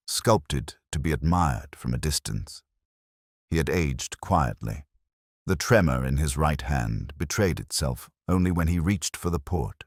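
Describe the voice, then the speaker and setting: A man narrating a first-person detective story in a measured, literary, brooding manner with a husky voice. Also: very deep voice, no echo, clean studio sound.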